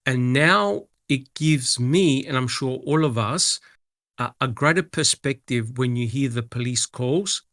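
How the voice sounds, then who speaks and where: Man, panel guest, measured, filler pauses, mid-pitched, dry sound. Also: fairly bright tone, no echo. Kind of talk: casual panel discussion.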